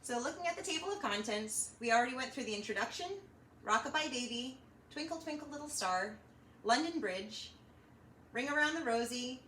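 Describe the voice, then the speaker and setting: Children's storytime host: woman, bright high voice, encouraging and direct, recorded in an echoey room. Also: brisk. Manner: animated, encouraging, talking directly to young listeners. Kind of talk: children's storytime reading and chat. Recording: roomy echo, slight background noise.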